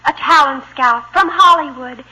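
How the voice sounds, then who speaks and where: A woman's voice: high voice, a little slow, sharp tone, heavily acted, low-quality recording. A woman playing a dreamy, stage-struck teenage American girl in a 1950s radio sitcom, theatrical and over-earnest. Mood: wistful, melodramatic.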